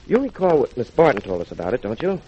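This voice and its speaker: An actor playing a sharp, self-assured detective who explains things deliberately, in 1940s radio crime drama style. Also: male voice, mid-range voice, very animated, slightly rough. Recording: muffled old radio recording, faint hiss.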